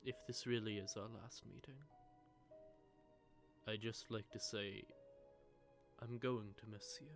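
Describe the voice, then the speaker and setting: Audio roleplay vampire male actor: lowish pitch, predatory and wry, teasing drawl, soft sentimental streak, music underneath. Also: fairly even intonation, unhurried, pauses often. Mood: wistful teasing. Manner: teasing, taunting, drawling menace with soft asides. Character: sardonic, predatory male vampire, secretly sentimental toward prey. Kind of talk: scripted intimate audio roleplay monologue.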